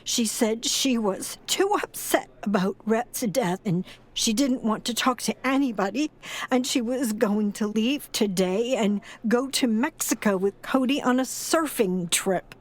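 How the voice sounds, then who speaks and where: An actress playing a sorrowful old grandmother with a halting, sighing delivery in an audio drama. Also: fairly high pitch. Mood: weary, hesitant. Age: older. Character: frail, grieving, tough old grandmother and former foster mother.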